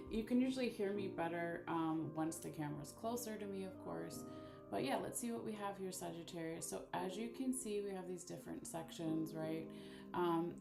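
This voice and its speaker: A soft-voiced woman with a slightly low pitch talks gently and unhurriedly through a live-streamed divination reading, sprinkling in plenty of "um" and "okay." She sounds like she's in an echoey room, with loud guitar music playing behind her. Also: creaky. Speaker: bones and charms reader.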